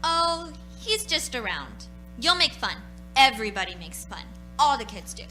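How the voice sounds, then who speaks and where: Bright-voiced, excitable little girl. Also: a little high, moderate pace, faint music behind, low-quality recording. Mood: eager, defensive. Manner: loud, emphatic, impatient. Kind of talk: live radio play acting.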